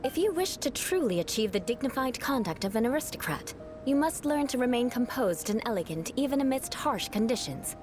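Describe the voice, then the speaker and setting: A woman's voice: on the high side, sing-song, brisk, darkish tone. A woman voicing a proud, composed young aristocrat in a video game, coaching others in a formal, faintly condescending way. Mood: instructive. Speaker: trainer. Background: game music.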